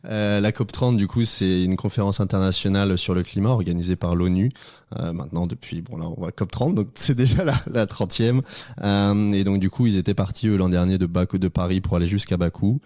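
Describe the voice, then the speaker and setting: A man's voice: sits low, fairly quick, darkish tone, no room sound, studio quality. A man, a radio guest, explaining at length with many fillers. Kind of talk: radio interview.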